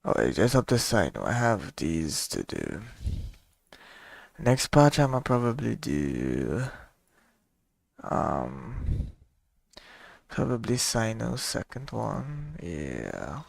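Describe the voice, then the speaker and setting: A man's voice: lowish voice, wildly swooping pitch, slow, vocal fry, long pauses, no echo. A young man giving casual, slangy gameplay commentary in a laid-back way. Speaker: game streamer.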